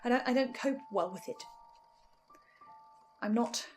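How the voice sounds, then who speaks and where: A woman host giving an informal fan recap and theory commentary, with animated asides that interrupt her own train of thought. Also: fairly high pitch, a little slow, faint music behind. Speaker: host, first-time listener reviewer.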